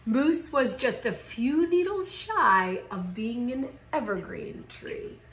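A woman in her thirties reading a children's picture book aloud in a theatrical, expressive way with drawn-out emphasis. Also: on the high side. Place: living room at home, indoors. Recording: roomy home recording.

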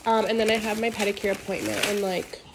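A young woman vlogger chatting casually to camera with a stuffy, congested, raspy voice, gushing and filling pauses with "like" and "yeah". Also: medium pitch, level tone, background noise. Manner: bubbly, gushing, many fillers like "yeah" and "like". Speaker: lifestyle vlogger.

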